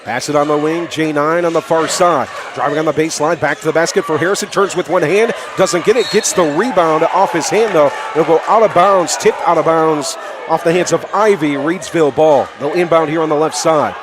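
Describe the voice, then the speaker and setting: A man calling live basketball play-by-play for radio in clipped phrases, excitable and raising his voice on big plays. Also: on the high side, a little fast. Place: indoor high school gymnasium. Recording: live from noisy gym, crowd behind. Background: crowd and bouncing basketball.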